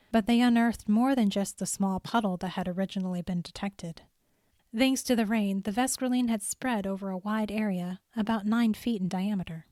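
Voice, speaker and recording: A woman's voice: medium pitch, dark tone, no room sound. Woman narrating a story, dry sound. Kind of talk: scripted audiobook narration.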